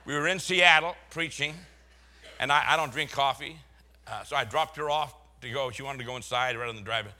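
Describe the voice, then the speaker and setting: A man's voice: medium pitch, brisk, sharp tone. An older man from Boston with a Boston accent, a pastor preaching a sermon full of folksy, chuckling stories.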